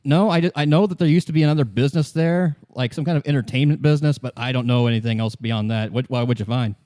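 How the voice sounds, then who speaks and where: A man playing a flustered, apologetic hotel manager in a tabletop roleplay, halting and defensive. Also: medium pitch, warm tone, no room sound, clean studio sound, lightly acted.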